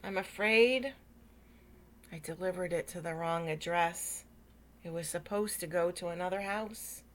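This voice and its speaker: A woman's voice: on the low side, unhurried, natural voice. A woman voicing a courteous, anxious mailman in a children's storybook, hesitant and earnest. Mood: apologetic. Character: courteous mailman, apologetic, anxious grown man.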